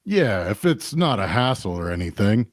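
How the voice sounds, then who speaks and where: An actor playing a burly pub keeper in an audio drama, halting and polite but unimpressed. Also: male voice, fairly low pitch, sing-song, no room sound, studio quality. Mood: awkward, nudging. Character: burly, no-nonsense pub keeper, a man, mildly impatient.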